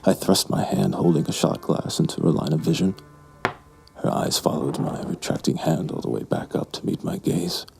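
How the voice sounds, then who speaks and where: An actor playing a hard-drinking, lustful barfly in a noir audio drama, with a slow, breathy, brooding delivery. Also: male voice, extremely low pitch, darkish tone, exaggerated voice. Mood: brooding, infatuated. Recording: music bed behind the voice.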